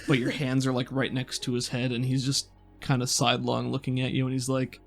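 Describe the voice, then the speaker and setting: A man with a gravelly, mid-pitched voice improvises narration as a game master. He describes scenes conversationally, working out the details as he goes, over quiet background music. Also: completely flat delivery, brisk. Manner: descriptive, conversational, thinking aloud.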